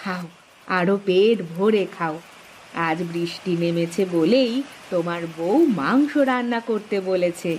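A woman's voice: fairly low pitch, measured pace, darkish tone, natural voice, low-quality recording. Cartoon mother-in-law, older village woman, played by an actress: kindly, coaxing, doting, folksy; rain noise behind. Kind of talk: cartoon voice acting.

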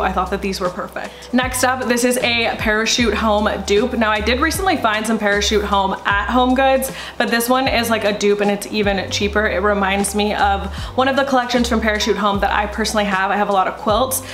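A woman in her thirties with a lilt talks excitedly to the camera, her speech full of "like" fillers. Her voice sits at an ordinary middle pitch and carries some echo from a living room. Faint music plays behind her. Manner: chatty, enthusiastic, frequent "like" fillers. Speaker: home decor vlogger. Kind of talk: casual shopping haul chat. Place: indoors, living room with sofa. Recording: roomy echo.

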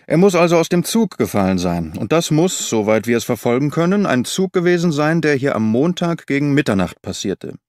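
A man's voice: a little high, very animated, a little fast, few pauses, a little mellow, dry recording, clean studio sound, voice pushed up. A man voicing a friendly old railway official in an audiobook, courteous and explanatory. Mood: helpful, factual. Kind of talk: audiobook character reading.

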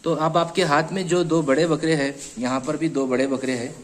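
A man's voice: a little high, fairly even intonation, fairly quick. A man with a Hyderabadi accent hosting a casual on-site interview at a goat farm, chatty and repeating answers back. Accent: Hyderabadi Hindi-Urdu. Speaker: host of a goat channel.

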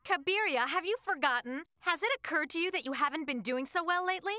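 A woman's voice: very high-pitched, lively intonation, quick pace, pushed and bright, dry recording, exaggerated voice. A woman voicing a confident, cheerful teenage angel girl with a playful, self-assured manner.

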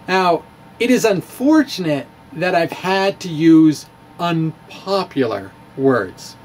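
A middle-aged man giving a dramatic reading of a science blog to camera, theatrical and emphatic, with halting phrasing and stretched words. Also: on the high side, slight background noise. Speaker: amateur physicist and video blogger. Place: small indoor room with bookshelves. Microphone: handheld-style microphone on a stand, close in front.

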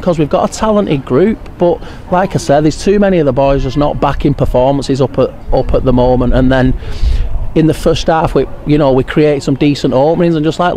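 A man in his thirties with an English accent, a football manager giving a frank, halting post-match interview outdoors at a floodlit ground at night. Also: mid-range voice, mellow, a little hiss or hum. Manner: frank, frustrated, halting with restarts. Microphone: handheld furry mic held near by interviewer.

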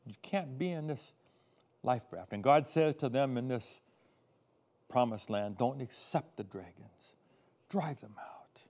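An older man preaching a sermon on a studio set, moving between quiet asides and loud, emphatic scripture readings, recorded on a lapel mic. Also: mid-range voice. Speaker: pastor.

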